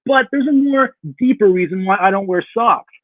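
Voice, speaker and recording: A man's voice: high-pitched, normal speed, dry recording. Tinny-sounding SoCal surfer dude, played by a man. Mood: chummy. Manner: goofy, mellow slacker talk. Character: laid-back SoCal surfer dude narrator with guitar. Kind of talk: puppet show narration. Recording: tinny phone-like audio, guitar behind.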